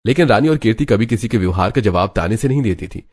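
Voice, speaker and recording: Male narrator, low pitch, scripted storytelling, animated family drama. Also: quick pace, breathy edge.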